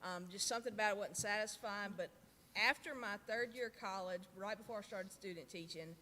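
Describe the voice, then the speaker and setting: A woman's voice: mid-range voice, brisk, sharp tone, slight background noise. Chatty woman telling stories. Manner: chatty storyteller, laughs easily. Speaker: youth minister, guest. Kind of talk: casual interview chat.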